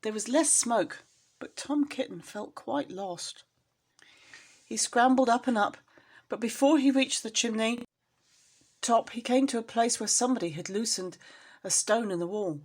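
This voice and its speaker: A woman storyteller reading expressively to young children, in an engaging, animated manner. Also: on the high side, measured pace, pauses often. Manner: animated, addresses young listeners with questions.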